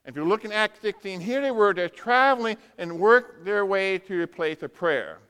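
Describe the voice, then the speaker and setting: A man's voice: sits high, expressive, moderate pace, sharp tone, clean tone. A man preaching a sermon as a dramatic storyteller, in short punchy phrases and acted-out dialogue, in a large reverberant room. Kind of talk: sermon with animated personal storytelling. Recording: reverberant hall.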